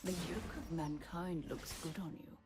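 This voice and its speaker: A rich, coldly menacing lady assassin from a video game. Her voice sits on the low side, clipped and condescending, with music loud behind every line. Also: unhurried. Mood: condescending menace. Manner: clipped, disdainful, sarcastic delivery. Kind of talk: video game character voice lines.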